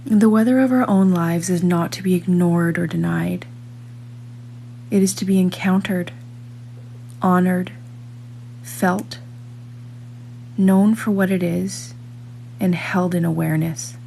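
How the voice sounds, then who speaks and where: A woman reads a guided meditation in a soft-edged, mellow tone that sits a little low. She is calm and measured, leaving long pauses between phrases. A faint noise and hum runs behind her voice. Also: creaky, low-quality recording. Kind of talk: guided meditation, scripted narration.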